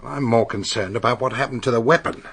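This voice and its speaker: A man's voice: medium pitch, fast talker, gravelly, a bit bright, breathy edge. A man playing a brusque, determined police investigator in a radio drama, blunt and businesslike.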